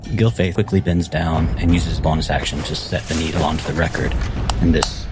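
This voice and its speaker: A man with a very deep voice takes the part of a tabletop game player, narrating his character's actions. Music plays clearly under the voice. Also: steady tone, fairly quick.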